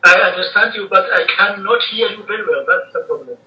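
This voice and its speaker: A man's voice: sits high, animated, a little fast. A young man whose first language is Kurdish, a student answering interview questions over a video call, hesitant and searching for words with frequent uh's. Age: young adult. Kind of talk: halting conversational answers. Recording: distant, echoey, dull call audio.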